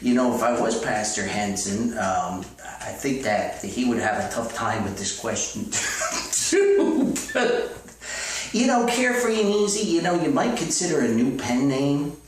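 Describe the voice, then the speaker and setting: A man hosting a rambling comedic mailbag podcast, reading letters in a folksy, halting way with frequent digressions. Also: medium pitch, sing-song. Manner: folksy, halting, repeats himself, digresses often. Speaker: podcast host and author reading fan mail. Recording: roomy echo, distant mic, faint noise.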